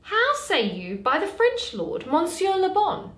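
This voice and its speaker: A woman acts out a loyal waiting-maid from classical theatre, sensible and gently advising. The voice is high and lilting, with slow, measured phrasing in an echoey room. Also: huge pitch swings, full character voice. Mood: gently prompting. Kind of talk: theatrical Shakespeare reading.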